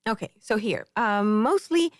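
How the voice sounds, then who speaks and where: A woman's voice: medium pitch, animated, a little slow, no echo, studio quality. A middle-aged woman from the Dominican Republic, a candidate explaining her views in an interview, earnest and careful, with many ums. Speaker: political candidate, guest. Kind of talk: interview answers.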